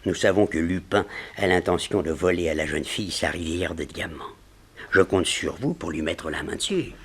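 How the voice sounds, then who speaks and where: An actor playing a composed, courteous gentleman client with polite, formal speech in a 1960s French radio drama. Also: deep voice, normal speed, husky. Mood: courteous, businesslike.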